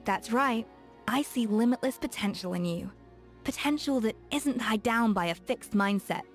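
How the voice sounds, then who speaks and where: A woman's voice: a little high, expressive, normal speed, natural voice. An actress voicing a confident, encouraging woman chef in a video game, with faint music behind. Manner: warm, encouraging and playful. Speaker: game character. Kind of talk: game voice acting.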